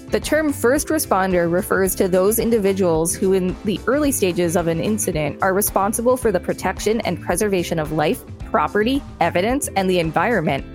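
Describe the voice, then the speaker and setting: An American woman co-hosting a podcast, reading encyclopedia text aloud with light banter. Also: medium pitch. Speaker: podcast co-host and reader.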